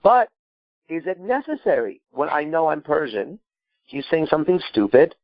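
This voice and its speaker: Emphatic man coaching, slightly high voice. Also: sing-song, moderate pace, no echo, lo-fi sound. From Iran. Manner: emphatic, profane, repeats himself, asks rhetorical questions. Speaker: podcast host and coach. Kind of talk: live call-in advice, improvised coaching.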